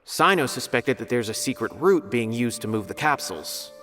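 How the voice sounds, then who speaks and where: A man's voice: medium pitch, lively intonation, normal speed, fairly bright tone, natural voice. A man voicing a polite young academy scholar in a video game, earnest and a little hesitant. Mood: serious. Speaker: scholar asking for help. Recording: game audio with music behind.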